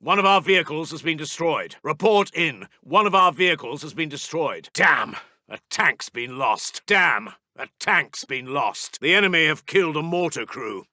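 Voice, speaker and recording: Clipped, bright-edged British wartime officer. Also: male voice, on the high side, dry recording, studio quality. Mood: urgent, grim, then dismayed, exasperated. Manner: clipped, terse, grave military reporting. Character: British WWII army intelligence officer, grim, urgent, clipped. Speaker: game voice actor. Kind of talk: military radio report voicelines for a strategy game.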